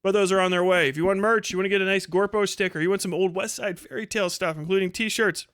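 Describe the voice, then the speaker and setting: A man's voice: high-pitched, fairly even intonation, quick pace, a bit bright, clean tone, dry recording, clean studio sound. An American man hosting a podcast livestream in a casual, irreverent, rambling way, swearing freely as he goes. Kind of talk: casual profane livestream monologue.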